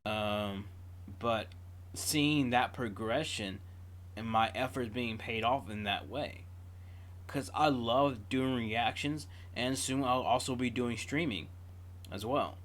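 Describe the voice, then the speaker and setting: A man's voice: medium pitch, slow pace, a bit bright. A man hosting a reaction video, talking casually as he shares his thoughts.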